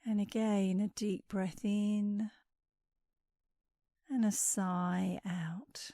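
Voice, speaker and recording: Slow, mellow woman guiding sleep meditation. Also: medium pitch, flat delivery, no room sound, clean studio sound. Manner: soothing, unhurried, with breathy phrase endings. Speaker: guided meditation instructor. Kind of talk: guided sleep meditation narration.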